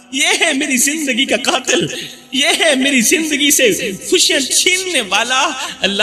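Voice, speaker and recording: High-pitched man preaching emphatically. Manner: exhortative, rhetorical, emphatic repetition. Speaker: Islamic preacher. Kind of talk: dramatic Urdu devotional sermon.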